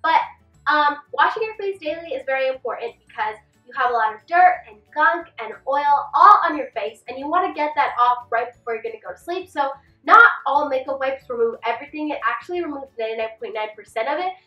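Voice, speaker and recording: A woman's voice: high-pitched, animated, brisk, muffled recording, soft background music. A young woman chatting through beauty tips to camera, a little congested from a cold and with a slight lisp from a retainer. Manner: chatty, gestures a lot while seated. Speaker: beauty vlogger. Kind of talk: casual tips vlog. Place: small bedroom set with clothes rack. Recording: roomy, slightly distant camera mic.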